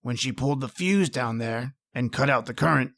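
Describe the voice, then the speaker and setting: A man's voice: medium pitch, normal speed, vocal fry, fairly bright tone. An actor playing a matter-of-fact male investigator in an old-time radio thriller, knowingly explaining a crime.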